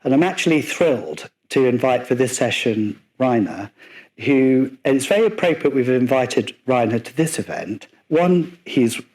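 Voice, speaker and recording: Brief: male host, mid-pitch, halting introduction full of fillers. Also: animated, rough recording. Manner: warm, hesitant, many fillers and laughs. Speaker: program host. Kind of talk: introducing a speaker.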